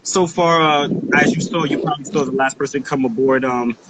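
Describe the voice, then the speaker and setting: A man's voice: mid-range voice, fairly even intonation, a little fast. Laid-back man hosting a noisy video call. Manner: laid-back, repeats words, laughs often. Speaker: host. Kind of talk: casual livestream chat.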